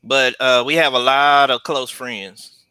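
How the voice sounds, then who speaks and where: A man's voice: medium pitch, fairly even intonation, unhurried, pushed and bright, smooth, dry recording, rough recording. A man in his forties from San Diego, a college teacher, answering interview questions over a video call with a headset, hesitant with many fillers and small laughs. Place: home room indoors. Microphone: headset mic on video call.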